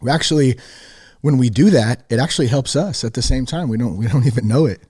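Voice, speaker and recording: A man's voice: medium pitch, fast, mellow, husky. A man hosting a podcast, chatting casually in an informal, slangy way with enthusiastic, affirming responses. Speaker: podcast host. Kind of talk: casual interview chat.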